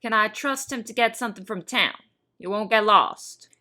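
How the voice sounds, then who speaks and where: A woman playing a brisk, imperious lady of a big house in an audio drama, commanding and businesslike. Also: medium pitch, bright, forceful tone, close to an everyday voice. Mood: brisk, appraising.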